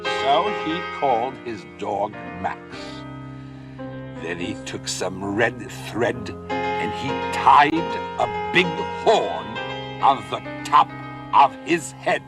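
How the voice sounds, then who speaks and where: A man narrating a rhyming storybook in an expressive, sing-song way. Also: medium pitch, slow, over loud music. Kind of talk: storybook reading in rhyme.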